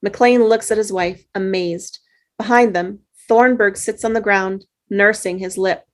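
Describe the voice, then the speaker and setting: A woman with a mid-pitched voice reads screenplay action lines at a table read. Her delivery is plain, and she trips over words here and there. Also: fairly even intonation, a little slow. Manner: stumbles on words while reading. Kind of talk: script reading.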